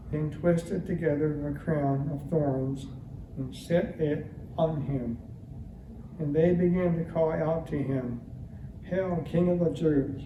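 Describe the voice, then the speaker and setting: A man reading scripture solemnly as a church member at a service, recorded in a church. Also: on the high side. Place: large indoor church, distant mic. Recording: echoey church from far off, clear noise.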